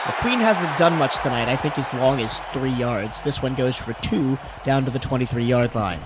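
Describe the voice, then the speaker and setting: A man calling play-by-play for a simulated football game, his voice hoarse and lower after a cold, rising sharply in pitch and volume on big plays. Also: normal speed, background noise. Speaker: play-by-play commentator. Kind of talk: sports play-by-play commentary.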